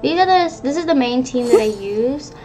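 A woman's voice: high-pitched, lively intonation, moderate pace, rough recording. A woman giving casual gameplay commentary as a gaming YouTuber. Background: game music.

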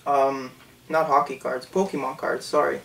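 A man's voice: mid-range voice, level tone, normal speed, vocal fry, a bit bright, recorded from a distance, slight background noise, rough recording. Rambling young man vlogging from his bedroom. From Canada. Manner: rambling, lots of "um" and "like". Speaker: channel host. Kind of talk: casual storytelling vlog. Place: small bedroom, indoors.

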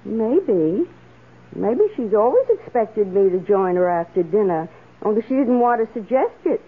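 A woman's voice: mid-range voice, lively intonation, unhurried, husky. An actress playing an eager, emotional woman houseguest in an old-time radio drama, with an earnest and dramatic delivery. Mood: anxious, remorseful. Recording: muffled lo-fi radio drama recording.

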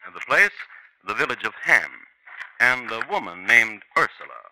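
A man's voice: on the low side, very animated, normal speed, sharp tone, a little hiss or hum, low-quality recording. A man narrating a 1950s radio crime drama in a wry, theatrical storytelling manner. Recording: old radio broadcast with music.

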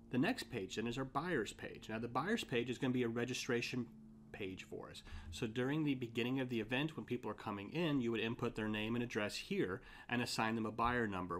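A man speaking as an instructor, explaining step by step in a scripted tutorial narration. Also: mid-range voice. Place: voice-over for a slide and screen recording.

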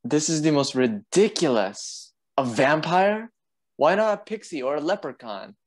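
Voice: mid-range voice, animated, unhurried. An actor playing a stern, indignant male doctor who refuses to believe, in a radio play. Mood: incredulous, scornful. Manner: stern, incredulous. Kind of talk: stage-style radio play acting.

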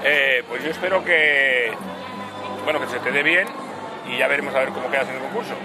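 A man's voice: medium pitch, a little fast, over loud music. A man in his sixties with a Castilian Spanish accent, interviewing people casually and jokily in an outdoor square. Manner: jokey, chatty. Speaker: interviewer for local TV. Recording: outdoor camera sound with crowd noise.

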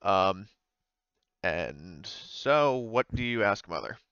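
A man's voice: on the low side, sing-song, slow, fairly bright tone, clear, resonant tone, no room sound. A man casually explaining a tabletop game as game master, in a conversational, hedging way over a muffled online voice call.

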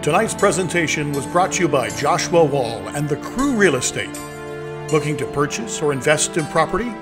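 A male announcer reads scripted credits. His voice sits a little high, and loud music plays behind him. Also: lively intonation, normal speed.

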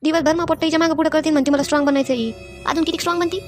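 A woman's voice: high voice, fairly even intonation, very fast, faint music behind, lightly acted. A woman voicing a nagging, self-important older mother-in-law in a cartoon comedy, complaining and scolding. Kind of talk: cartoon comedy skit voice acting.

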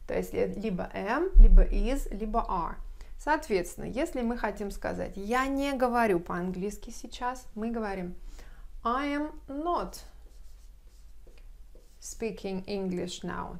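A woman in her thirties teaching English grammar at a whiteboard, explaining carefully and saying example phrases slowly, recorded on a lapel mic in a bright room. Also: medium pitch, gravelly.

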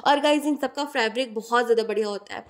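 A woman's voice: on the high side, quick pace. A woman vlogging a tour of an outdoor street market, chattily listing prices one after another. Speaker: shopping vlogger. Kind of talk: casual market tour narration.

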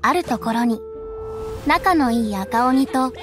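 A woman playing a gentle storybook narrator telling a folktale in a game. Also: fairly high pitch, lively intonation, takes it slowly, over music. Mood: gentle storytelling.

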